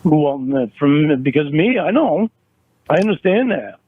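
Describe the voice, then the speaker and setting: A man in a recorded phone argument, talking in a halting, defensive way and repeating words as he restarts. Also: on the high side, very animated, background noise. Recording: tinny phone line recording.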